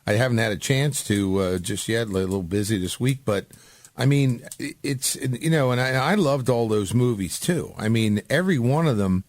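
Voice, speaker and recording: A man's voice: mid-range voice, animated, normal speed, a little mellow, no room sound. A man hosting a casual radio interview, chatting in an informal, rambling way. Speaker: radio show host.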